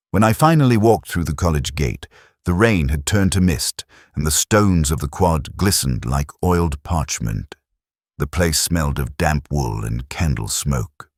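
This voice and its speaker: Very deep male detective-story narrator. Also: no room sound, clean studio sound. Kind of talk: scripted first-person detective story narration.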